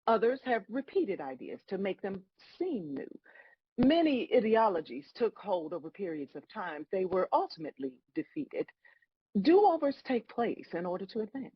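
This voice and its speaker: Lady playing god as a patient bemused judge, kinda husky n animated, comedy table read, slightly muffled audio. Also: medium pitch, moderate pace, dry recording. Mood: lofty, instructive.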